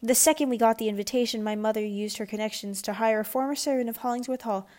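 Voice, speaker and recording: A woman's voice: on the high side, fairly even intonation, quick pace, darkish tone, natural voice. A woman voicing a snobbish, spiteful eleven-year-old girl in a children's audiobook, with a haughty, sneering manner. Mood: boastful. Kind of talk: children's audiobook character dialogue.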